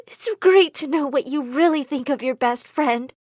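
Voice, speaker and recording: A woman's voice: sits high, normal speed, a little mellow, no echo, clean studio sound, close to an everyday voice. A woman voicing an emotional, outspoken teenage girl who exclaims dramatically. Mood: defensive.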